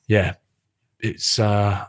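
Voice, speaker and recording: A man co-hosting a rugby podcast, talking casually in a relaxed, rambling way, with many fillers and trailing thoughts. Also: on the low side, extremely slow. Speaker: podcast co-host.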